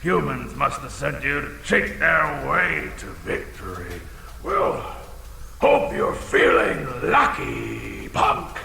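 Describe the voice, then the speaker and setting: A big-talking, insecure dragon in a comedy audio drama, with a heavily creaking, gravelly voice and forceful, biting edge. The delivery swoops wildly from grand menace to fussy grumbling, echoing through a long cavernous reverb. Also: male voice, wildly swooping pitch, takes it slowly, background noise, full character voice. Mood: indignant. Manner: theatrical booming threats collapsing into fussy, casual muttering. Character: blustering, insecure, half-blind dragon with huge teeth.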